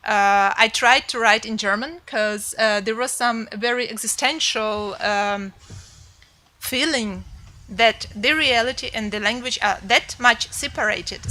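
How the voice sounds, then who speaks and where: A translator speaking English on a panel, thoughtful and halting with many fillers. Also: female voice, fairly high pitch, pushed and bright, low-quality recording. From Ukraine. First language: Ukrainian. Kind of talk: panel discussion.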